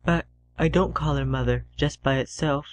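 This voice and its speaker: A woman voicing a gentle, dreamy thirteen-year-old boy who speaks softly and earnestly. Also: sits low, normal speed, a little hiss or hum, voice pushed down, close to an everyday voice.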